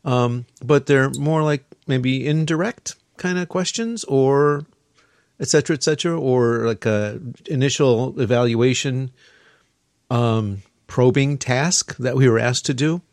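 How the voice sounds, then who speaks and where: A man's voice: medium pitch, unhurried, no room sound. Chatty male podcast host, dry sound. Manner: rambling, many fillers and restarts. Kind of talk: casual podcast conversation.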